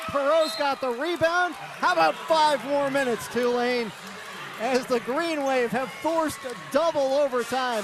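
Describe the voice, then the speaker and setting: A male play-by-play announcer with a very high-pitched, projected voice calls a live game for radio. His phrases come urgent and clipped, straight from the venue into a broadcast mic. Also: noisy background.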